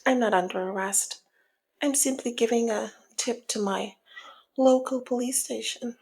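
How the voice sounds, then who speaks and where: A woman acts out a flirty female mob boss for an ASMR audio drama. Her voice is fairly high and breathy, with a crackle of fry at the edges, often sinking toward a whisper. She is sultry and in command, teasing with a sly undercurrent of menace. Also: a little slow, darkish tone, heavily acted. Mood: sly, businesslike.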